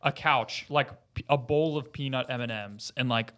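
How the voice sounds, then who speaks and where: Man, average pitch, informal, conversational, podcast panelist. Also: normal speed, a bit bright, clear, resonant tone. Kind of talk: casual group chat.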